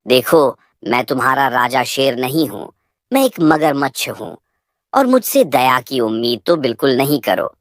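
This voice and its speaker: A scheming male crocodile from an animal cartoon, acted by a woman, speaks in a very low voice. He is boastful and ruthless, giving threats and orders. The sound is dry, with no room around it. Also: lively intonation, a little slow, no room sound. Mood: menacing. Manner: threatening, commanding. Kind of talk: children's animal moral-story cartoon.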